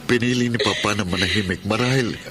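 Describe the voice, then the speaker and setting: A supportive husband acted by a man with a fairly low voice. He sounds like the worried voice of reason in a radio drama, consoling and explaining. Faint noise sits behind him. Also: quick pace, natural voice. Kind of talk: Tagalog radio drama acting.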